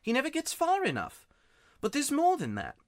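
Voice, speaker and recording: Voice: wildly swooping pitch, moderate pace, no room sound, studio quality, exaggerated voice. A storyteller voicing an upset young schoolboy who talks in a raised, pleading voice. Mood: eager. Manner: pleading, worked up, raised pitch. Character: grubby, miserable schoolboy, tearful and excitable. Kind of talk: audiobook character voice.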